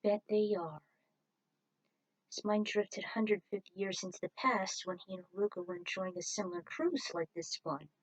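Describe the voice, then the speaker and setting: A woman narrates a written story in a mid-pitched voice. She reads it out as scripted prose, stumbling now and then, and the sound is dry with very little room in it. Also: steady tone, a little fast, hardly any pauses, no room sound, rough recording. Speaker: narrator reading fan fiction.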